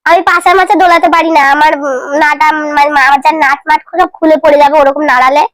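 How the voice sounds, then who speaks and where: Woman prank calling, really high pitched, talks quick, teasing chatty style. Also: steady tone, a bit bright. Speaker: prank caller. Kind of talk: casual teasing phone chat.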